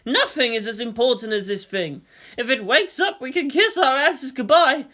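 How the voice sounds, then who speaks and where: A woman's voice: high voice, moderate pace, a bit bright, pitched above the performer's own voice. A woman voicing a nervy, irreverent soldier leading a mech squad, mixing clipped military radio talk with casual swearing. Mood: uneasy.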